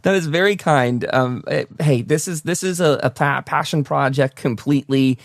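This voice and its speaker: A man's voice: fairly high pitch, moderate pace, vocal fry, dry recording, studio quality. A man hosting a casual interview show, speaking effusively and often stammering over repeated words. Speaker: talk show host.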